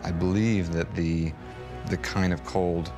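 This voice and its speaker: A man's voice: deep voice, steady tone, a little slow. A man speaking informally in a behind-the-scenes interview, thinking aloud as he goes. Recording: music under the voice. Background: music.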